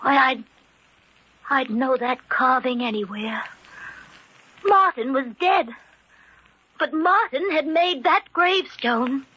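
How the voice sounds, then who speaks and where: A woman's voice: high voice, expressive, slow, long pauses, background noise. An actress playing a guilt-ridden, frightened woman murderer in 1940s radio thriller style, breathless and melodramatic. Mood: fearful, desperate. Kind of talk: 1940s radio thriller acting, first-person narration. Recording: muffled old radio recording, loud music behind.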